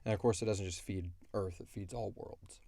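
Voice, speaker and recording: Low-voiced man chatting on a podcast. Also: steady tone, moderate pace, creaky, warm tone, no room sound. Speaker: podcast co-host and audio drama creator. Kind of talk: casual podcast chat.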